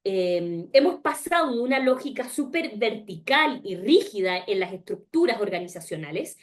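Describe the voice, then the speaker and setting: A woman's voice: mid-range voice, sing-song, moderate pace. A woman from Chile with a Chilean accent, presenting a webinar over a video call and often asking rhetorical questions. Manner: engaged, asks rhetorical questions, often tags "¿ya?". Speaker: webinar speaker.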